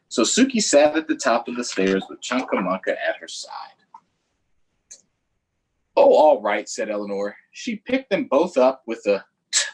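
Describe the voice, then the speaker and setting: A man's voice: on the low side, husky, poor audio. A man hosting a children's story time, reading aloud in a playful, sing-song way with chatty asides to viewers.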